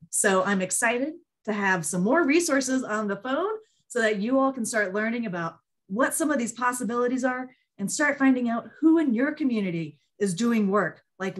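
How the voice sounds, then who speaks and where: A woman hosting an online group call, presenting in an informal, conversational webinar style. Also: medium pitch. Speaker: host of an online monthly call.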